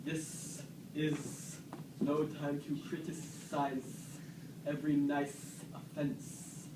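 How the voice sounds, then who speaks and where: A man's voice: a little high, flat delivery, extremely slow. A man playing Cassius, a proud, aggrieved Roman soldier, in an amateur puppet-show parody of Shakespeare, with declamatory delivery. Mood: indignant. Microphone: distant camera microphone. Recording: echoey noisy room, far from mic.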